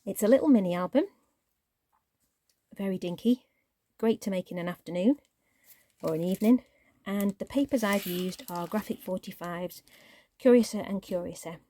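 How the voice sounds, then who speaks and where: A woman with a mellow, slightly croaky voice talks her viewers through a handmade craft in a relaxed, chatty way. Her voice sometimes drops to a near-whisper as she works. The sound is dry and close. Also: medium pitch, moderate pace, long pauses, no room sound. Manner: chatty, relaxed show-and-tell, drops to near-whisper while handling paper. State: croaky voice, clearing throat. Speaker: crafting channel host. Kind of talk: casual craft tutorial.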